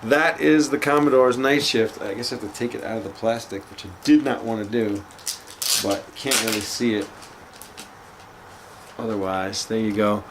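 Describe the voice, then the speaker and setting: A man's voice: on the low side, normal speed, noisy background. Stuffy-nosed man chatting casually. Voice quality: slightly nasal. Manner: relaxed, chatty, with frequent fillers. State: congested with a cold, sniffling. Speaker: record collector vlogger. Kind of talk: casual show-and-tell chat.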